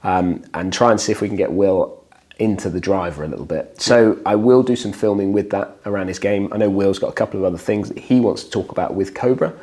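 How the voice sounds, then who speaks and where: A man hosts a casual chat, his somewhat low voice croaky and worn. He rambles, repeats words and restarts his sentences as he goes. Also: fast, dark tone. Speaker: host.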